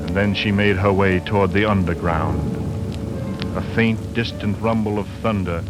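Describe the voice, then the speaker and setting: An actor narrating a suspense tale in a grave, deliberate, theatrical 1940s radio style. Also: male voice, low voice, fairly even intonation, close to an everyday voice. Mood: ominous, intense. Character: grave, ominous male storyteller of a suspense tale. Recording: old radio recording with music bed.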